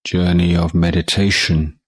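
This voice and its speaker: A man teaching guided meditation in a calm, measured way, leaving long pauses between short phrases. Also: low-pitched, husky, no room sound. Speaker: Buddhist monk meditation teacher.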